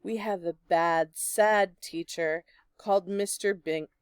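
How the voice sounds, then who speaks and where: A woman reading a children's picture book aloud in an expressive, deliberate storytelling manner. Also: lowish voice, clean tone, no room sound, clean studio sound. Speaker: storybook reader.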